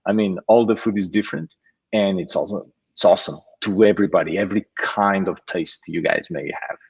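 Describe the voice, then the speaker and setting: A man's voice: low voice, brisk, creaky, slightly rough, poor audio. A man from Portugal introducing himself as a panelist on an online call, hesitant with many fillers and restarts. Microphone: video call.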